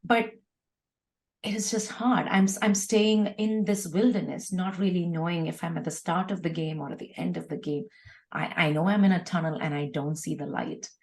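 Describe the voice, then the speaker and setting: Earnest, lower-pitched woman guest. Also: fairly even intonation, normal speed, darkish tone. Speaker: guest, organisational leader. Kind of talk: reflective conversational interview, personal testimony.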